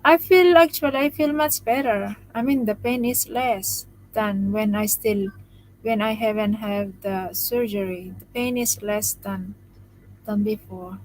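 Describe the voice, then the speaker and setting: Woman, slow pace, soft low-volume voice, tired after jaw surgery, live stream chat. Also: steady tone, poor audio. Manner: speaks softly, restarting phrases, laughing often. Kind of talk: casual live stream chat reading comments.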